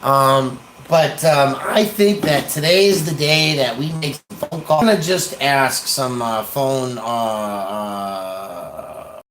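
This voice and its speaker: An American man rambling casually on a livestream, hesitant and pausing often as he talks. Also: mid-range voice, fairly bright tone, a little hiss or hum, poor audio. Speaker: livestream host, activist.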